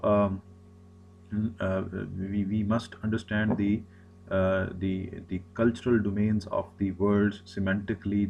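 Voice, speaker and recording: A man's voice: fairly low pitch, a little mellow, lo-fi sound, a little hiss or hum. A man, an Urdu speaker, giving a recorded lecture, often repeating himself and restarting phrases. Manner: repetitive, many restarts and fillers.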